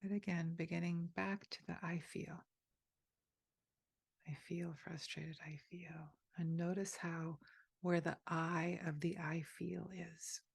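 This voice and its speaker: A woman guides a meditation in a slow, unhurried voice with a husky, gravelly texture. Her pitch sits on the lower side. The sound is dry and close, with no room around it. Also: little pitch movement, no echo. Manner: slow, soft and gently inviting, with pauses. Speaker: meditation teacher.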